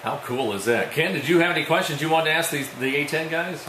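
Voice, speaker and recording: A man's voice: fairly high pitch, fast talker. An American man doing a casual radio interview, chatty and encouraging, often chiming in with short interjections. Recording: echoey room with clear background noise.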